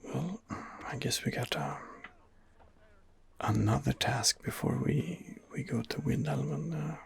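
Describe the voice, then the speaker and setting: A man narrating an ASMR game playthrough in a soft, hesitant half-whisper, thinking aloud as he goes. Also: medium pitch, takes it slowly. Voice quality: soft, breathy half-whisper with vocal fry. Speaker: gamer role-playing a video game character.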